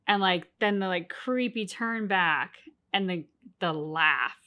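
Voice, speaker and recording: A woman co-hosting a game reaction video, talking in an animated, rambling way with many restarts. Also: on the low side, slow pace. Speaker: co-host of a game reaction channel. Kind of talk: casual reaction chat.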